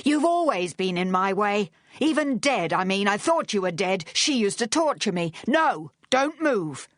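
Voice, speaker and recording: A woman voicing a deranged, frail, bitter man bent on revenge in an audiobook, snarling in furious, breathy rushes. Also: sits high, very animated, fairly bright tone, dry recording, studio quality, pitched above the performer's own voice, full character voice. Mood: snarling fury.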